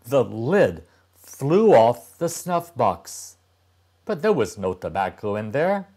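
A man in his sixties reading a storybook aloud to young children, deliberately and with dramatic pauses. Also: mid-range voice, very animated, dark tone, breathy edge. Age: older, about 60s. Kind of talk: expressive storybook narration.

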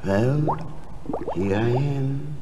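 An actor playing an anxious, thrifty, grumbling everyman in a comedy record sketch, with a deliberate delivery. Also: mid-range voice. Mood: skeptical. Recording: noisy, reverberant old comedy record before audience.

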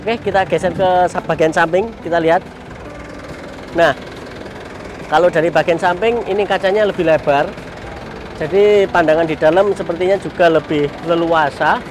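A man's voice: a little high, steady tone, normal speed, long pauses. A man in his thirties casually showing off a minibus outdoors, chatting to his viewers as friends. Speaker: vlogger. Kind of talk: casual walkaround vlog. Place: outdoor car show parking lot. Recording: outdoor noise behind voice.

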